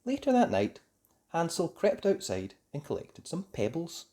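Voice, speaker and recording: A storyteller reading a children's fairy tale aloud in an expressive, emphatic, theatrical way for young listeners. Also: medium pitch, measured pace, slightly rough. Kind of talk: expressive scripted storybook narration.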